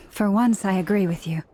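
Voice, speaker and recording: A woman voicing a calm, considerate young traveler in a video game, gentle and earnest. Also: mid-range voice, a little slow, slight background noise, natural voice.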